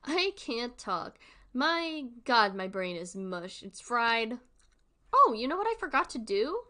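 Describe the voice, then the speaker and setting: A woman's voice: on the high side, sing-song, takes it slowly, bright, forceful tone. A woman hosting a livestream with casual, informal commentary, rambling and thinking aloud as she goes. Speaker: livestream host.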